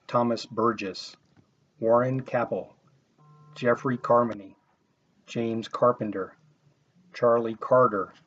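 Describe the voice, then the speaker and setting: A man formally reading out a list of names for a memorial roll call. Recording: dull, noisy with vehicle rumble.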